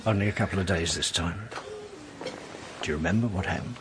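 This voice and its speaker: An actor playing a level-headed investigating man in a radio drama, measured and probing. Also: deep voice, lightly acted. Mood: calm, reassuring. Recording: clear background noise and ambience.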